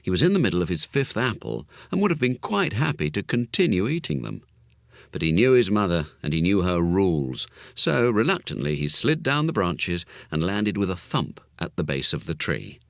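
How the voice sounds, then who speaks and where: A man reading a children's story expressively and with measured phrasing. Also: low voice, very animated, gravelly, no room sound, studio quality. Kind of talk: scripted children's story narration.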